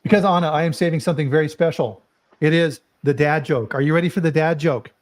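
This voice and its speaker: A man's voice: on the high side, fast. A middle-aged man hosting a live food safety chat, casual and upbeat, fond of corny jokes. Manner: jokey, upbeat, chatty. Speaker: host.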